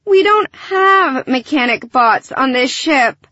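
Woman as a brash, high-pitched young gunner girl. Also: expressive, slow, dry recording, highs cut off, exaggerated voice. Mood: exasperated. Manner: loud, exasperated outbursts. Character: brash, foul-mouthed, hot-headed young female gunner.

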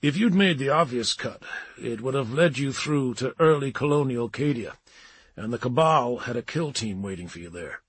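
A man's voice: medium pitch, expressive, normal speed, breathy edge, no room sound. A man voicing a breezy, blunt and persuasive male psychic agent in an audiobook. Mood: insistent. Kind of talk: audiobook character dialogue.

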